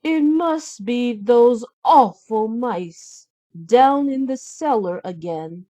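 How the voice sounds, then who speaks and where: A woman's voice: fairly high pitch, expressive, takes it slowly, mellow, no room sound, pitched above the performer's own voice. A woman voicing a mean, haughty stepmother in a children's storybook, commanding and disdainful. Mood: bossy, scornful. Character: mean, scheming, haughty middle-aged stepmother.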